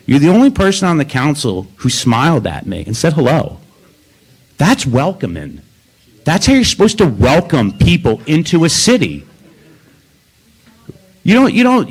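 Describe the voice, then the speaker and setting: A man's voice: mid-range voice, rough recording. A man speaking at a council meeting's public comment, emphatic and confrontational, repeating his points.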